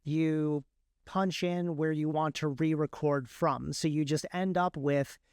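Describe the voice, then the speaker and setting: A man's voice: fairly high pitch, fairly even intonation, slow pace, a little mellow, clean tone, dry recording, clean studio sound. A man speaking casually as an interview guest, explaining his craft and often repeating words mid-sentence. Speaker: guest, author and audiobook narrator.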